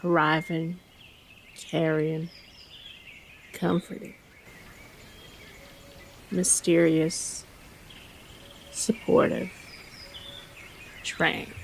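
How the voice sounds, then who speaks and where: A woman playing a mysterious, weary train advertiser in an audio drama, speaking in halting, solemn fragments. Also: low voice, flat delivery, slight background noise, exaggerated voice. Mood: solemn, inviting. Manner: halting, one or two words at a time.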